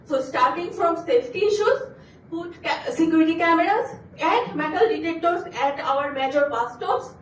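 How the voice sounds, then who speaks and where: A woman from Bangladesh, a graduate student giving an earnest competition pitch, stumbling and restarting now and then. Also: expressive, normal speed, reverberant, distant mic, a little hiss or hum, rough recording. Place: auditorium.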